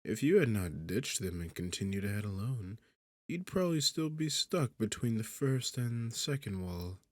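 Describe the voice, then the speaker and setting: A man's voice: lowish voice, lively intonation, moderate pace, dry recording, natural voice. A man playing an arrogant, sarcastic demon king in a seductive fantasy ASMR roleplay, with a smug, teasing, condescending manner. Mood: haughty, unimpressed. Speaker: audio roleplay performer.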